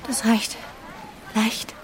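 A woman's voice: extremely slow, background noise. A woman in casual conversation, speaking in short, simple phrases.

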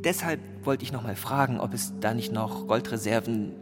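A man's voice: medium pitch, moderate pace, lightly acted. An actor playing an awkward, greedy money man in a radio drama, hesitant and forever correcting himself. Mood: fawning, flustered. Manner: hesitant, stammering, self-correcting. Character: obsequious, greedy, awkward money man, tactlessly fishing for fortune. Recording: long hall reverb, music behind.

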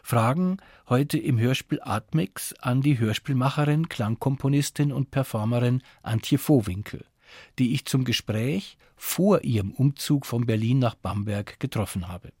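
A man's voice: medium pitch, a little slow, darkish tone, breathy edge, no room sound, studio quality. A man presenting and interviewing for radio in scripted narration and questions.

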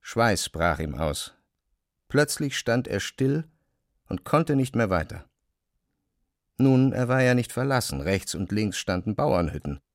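A man narrating a literary radio drama in German in a measured, deliberate way. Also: lowish voice, sing-song, dry recording, studio quality.

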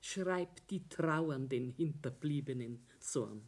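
A woman playing a middle-aged, newly widowed mother who clings to her son, with a husky voice, in a radio drama. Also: extremely low pitch, slow, a little mellow, exaggerated voice. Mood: restrained, correcting.

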